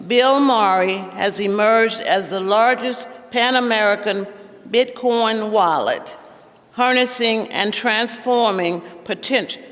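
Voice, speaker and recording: A man reading a formal introduction of a guest, deliberate and stumbling on some words. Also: sits high, a bit bright, in a large hall.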